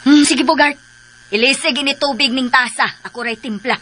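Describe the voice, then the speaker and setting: A woman's voice: high voice, fairly even intonation, brisk, sharp tone. An actress playing a distracted, bossy lady of the house with a Filipino accent in a radio drama. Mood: dismissive. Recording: noisy radio drama recording.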